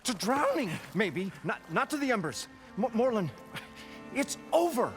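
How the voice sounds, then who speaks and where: An actor playing a blunt, exasperated salvage-diving partner in a video game, scolding and indignant. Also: male voice, high voice, big pitch swings, unhurried, fairly bright tone, faint music behind, full character voice. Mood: fed up. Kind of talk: video game cutscene acting.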